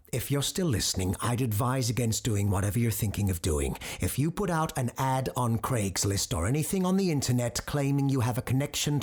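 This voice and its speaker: Woman acting husky, low-voiced occult radio host. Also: darkish tone, heavily acted. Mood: urgent warning. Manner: measured, ominous, scholarly. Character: grave occult expert hosting a supernatural call-in radio show. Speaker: radio call-in show host. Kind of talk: audio drama acting.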